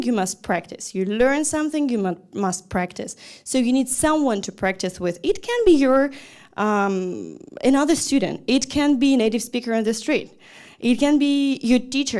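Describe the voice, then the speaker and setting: A young woman talks to an audience as a language teacher. Her voice sits a little high, and her delivery is lively and chatty, with quick restarts and small laughs. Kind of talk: talk to an audience.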